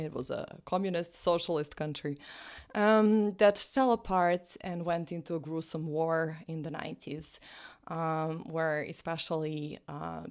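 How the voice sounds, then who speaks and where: A cheerful woman in her late thirties speaks English with a Serbian accent. Her voice sits a little low, and she laughs easily and often stumbles over the first words of a thought as she chats. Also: darkish tone. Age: 30s. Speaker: guest, social psychology professor. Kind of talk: casual interview chat.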